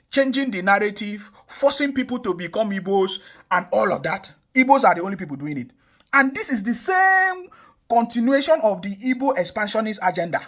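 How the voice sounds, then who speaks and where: A man's voice: high voice, fairly bright tone. A young Nigerian man from the South South giving impassioned political commentary, emphatic and repetitive, with frequent rhetorical questions. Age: young adult. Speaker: online commentator.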